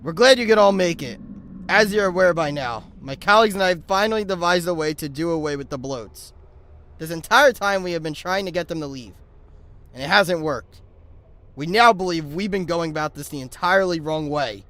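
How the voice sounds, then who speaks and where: Man as high-voiced earnest creature leader. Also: a little fast, fairly bright tone, natural voice. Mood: earnest, solemn. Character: earnest adult male creature leader addressing townsfolk. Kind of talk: formal announcement. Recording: faint background noise.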